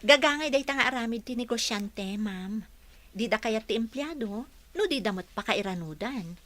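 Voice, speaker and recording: An actress with an Ilocano accent playing an earnest, respectful young employee in a radio drama. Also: female voice, a little high, animated, moderate pace, a bit bright. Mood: respectful, assured. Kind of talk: Filipino radio drama acting.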